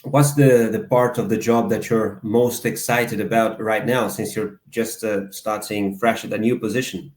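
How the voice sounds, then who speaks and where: A man hosting an interview, asking questions in halting, hesitant phrases full of fillers. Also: lowish voice, fairly even intonation, darkish tone. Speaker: podcast host. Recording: echoey room.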